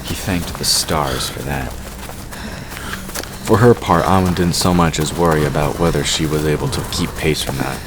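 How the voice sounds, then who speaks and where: A man's voice: a bass voice, normal speed, background noise. A man reading scripted narration for a fantasy audio drama.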